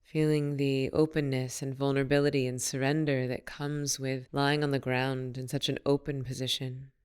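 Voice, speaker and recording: A woman leading a guided meditation as a teacher, speaking in a soothing, unhurried and gently instructive manner. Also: a bass voice, level tone, smooth.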